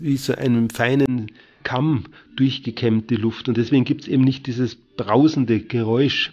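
A man's voice: mid-range voice, a little mellow, slightly rough. A middle-aged man explaining things as an interviewed nature expert. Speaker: owl expert being interviewed.

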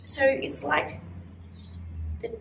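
A middle-aged woman leading a children's church lesson, explaining with animated gestures in a casual teaching chat. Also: drawn-out words, slight background noise. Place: small indoor room, standing at a wall. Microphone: far camera. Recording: roomy sound from a distant camera.

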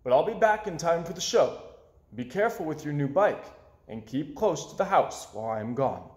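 A man's voice: on the high side, big pitch swings, normal speed, a little mellow. A man voicing a kindly guardian in a children's storybook, fondly announcing plans and giving instructions. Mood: cautioning. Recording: roomy, echoey indoor sound.

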